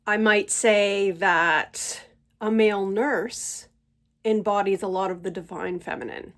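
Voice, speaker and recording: A middle-aged woman speaks at a slow, unhurried pace, pausing often to gather her thoughts. Her voice sits in a medium pitch and is slightly congested from a cold. She talks to her camera at home in a gentle, reflective monologue. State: stuffy from a cold. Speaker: channel host.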